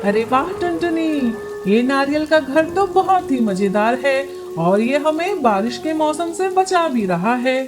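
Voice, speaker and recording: A woman voicing a snooty, gossipy female bird in a children's cartoon, with exclamatory, expressive delivery. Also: sits high, measured pace, few pauses, over music. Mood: delighted. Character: snooty female pigeon neighbour, haughty and gossipy. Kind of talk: Hindi children's cartoon dubbing.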